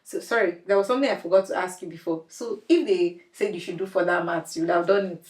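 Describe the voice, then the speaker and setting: A woman's voice: fairly low pitch, fast, a little mellow. Chatty woman host, echoey room. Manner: chatty, laughs often, uses Nigerian slang. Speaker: podcast host. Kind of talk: casual interview chat.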